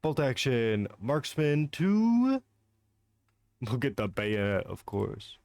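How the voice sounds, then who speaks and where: A man's voice: medium pitch, very animated, slow pace, creaky, a little mellow, no echo, studio quality. A young man giving casual, self-mocking commentary while streaming a shooter game, swearing and laughing between shots.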